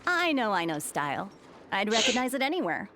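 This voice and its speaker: A woman's voice: medium pitch, very animated, brisk, background noise, natural voice. A woman voicing a breezy, confident shopkeeper in a fantasy video game. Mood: breezy, assured.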